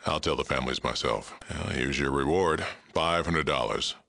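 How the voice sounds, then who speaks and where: A man playing a gruff, aging super mutant sheriff in a video game, world-weary but fair-minded, with a gravelly rumble and a blunt, dry delivery. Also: moderate pace, bright, forceful tone, full character voice. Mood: businesslike, gruff. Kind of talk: video game character dialogue.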